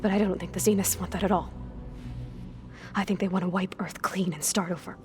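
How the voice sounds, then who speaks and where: A woman's voice: lowish voice, fairly even intonation, music in the background, natural voice. A woman playing an anxious, bookish young clone. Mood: earnest, uneasy. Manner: halting, clinical, precise wording. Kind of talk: dramatic video game acting.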